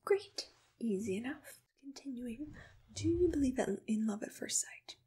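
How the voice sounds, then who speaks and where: A woman whispers softly and gently in an ASMR roleplay, asking questions in an attentive, breathy voice close to the microphone. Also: on the high side, lively intonation, normal speed. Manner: soft-spoken, gentle, attentive personal tone. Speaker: ASMR roleplayer playing a monster matchmaker. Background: computer keyboard typing.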